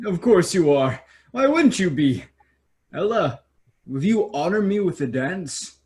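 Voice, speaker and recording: A man's voice: high-pitched, very animated, unhurried, darkish tone. A man playing a vain, boastful young fairy-tale prince in a staged reading, pompous and self-admiring. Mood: smug. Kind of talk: staged reading of children's theater.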